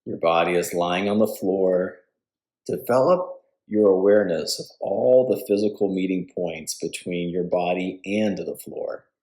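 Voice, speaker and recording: A man leading a guided yoga nidra meditation as instructor, speaking calmly and deliberately with pauses between phrases. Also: low-pitched.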